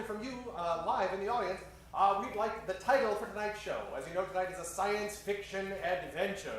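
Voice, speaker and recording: Male radio-show host, high voice, tongue-in-cheek announcer delivery, live stage, distant from the mic, clearly noisy recording. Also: a bit bright, very echoey. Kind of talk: theatrical old-time radio announcer addressing a live audience. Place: echoey venue in front of a live audience.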